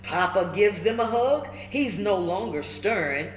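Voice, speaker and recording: A woman reading a children's story aloud in an expressive way, pausing to check in with her listeners. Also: mid-range voice. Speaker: storybook reader. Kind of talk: animated children's read-aloud with asides to listeners. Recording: roomy echo with faint background noise.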